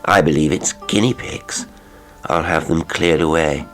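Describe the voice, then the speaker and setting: A man's voice: extremely low pitch, a little slow, a bit bright, faint music behind. A man voicing a domineering, puritanical aunt in a curt, prim, disapproving way for a storybook. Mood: stern suspicion.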